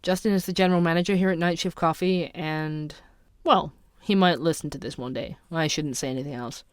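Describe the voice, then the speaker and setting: An actor playing a dogged, wry young male investigative podcaster in an audio drama, with a dry, measured, sardonic delivery. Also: mid-range voice, clean tone, no echo, lightly acted. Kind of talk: scripted narration and dialogue, audio drama.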